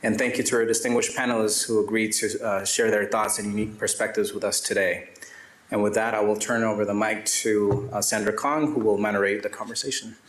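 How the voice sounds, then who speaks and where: A man's voice: on the low side, fairly even intonation, a little fast, a little hiss or hum. A man presenting at an event, reading a formal prepared speech with small ad-libs. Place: event room with audible echo. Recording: echoey live event room.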